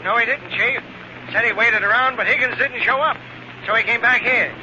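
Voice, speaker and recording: An actor playing a hard-boiled, eager American newspaper editor in an old-time radio drama, slangy and punchy. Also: male voice, extremely high pitch, moderate pace, sharp tone, full character voice. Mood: matter-of-fact. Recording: muffled, very noisy vintage radio recording.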